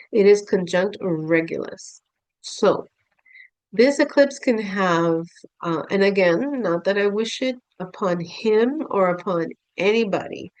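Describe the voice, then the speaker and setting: A woman speaks with a stuffy, nasal voice from a cold. She talks slowly and loosely to her viewers, with plenty of fillers and restarts. The recording is dry, with little room sound. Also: medium pitch, no room sound, rough recording. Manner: unhurried, frequent fillers and restarts, addresses viewers as "you guys". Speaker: astrologer. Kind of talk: casual explanatory talk to camera.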